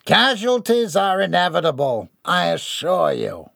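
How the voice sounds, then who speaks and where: A high, booming, self-important swindler boss done by a man reading a story aloud. He stretches his words out grandly and theatrically, with a gravelly edge over a dry, close sound. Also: big pitch swings, unhurried, a bit bright, no echo, full character voice. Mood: indignant. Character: pompous self-crowning male swindler tycoon. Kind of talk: storybook character voice.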